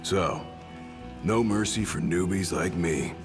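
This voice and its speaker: An actor playing a gruff, brash young royal bodyguard in a video game, with blunt, cocky tough talk. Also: male voice, low-pitched, slow. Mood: challenging, steady. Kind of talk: video game cutscene acting. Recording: dramatic game music behind.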